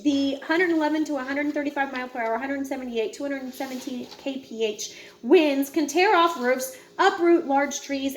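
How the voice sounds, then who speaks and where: A woman reading a nonfiction book aloud, informally, with casual asides and stumbles she corrects herself. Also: sits high. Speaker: read-aloud presenter.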